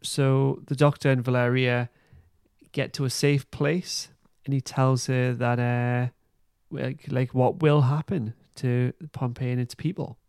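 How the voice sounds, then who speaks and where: A male podcast host with a mid-pitched, gravelly voice. He recaps a story casually and haltingly, feeling his way through sentences, and is recorded close and dry. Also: flat delivery, darkish tone, no room sound. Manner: hesitant, halting, informal. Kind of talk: casual plot recap and review.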